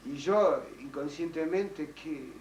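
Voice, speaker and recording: An older man whose first language is French tells his memories haltingly, restarting and searching for words. He sits back from the microphone in a roomy space. A faint hiss runs underneath. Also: a little high, darkish tone. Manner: halting, many restarts and fillers. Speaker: interviewee, child survivor. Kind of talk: recorded oral history testimony. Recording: roomy, distant, faint noise.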